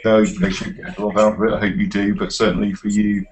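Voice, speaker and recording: A middle-aged man with a fairly low voice tutors informally over a video call. He speaks in a hesitant, encouraging way, as if to a small group of students. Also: level tone, fast. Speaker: university course tutor. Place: small office, indoors.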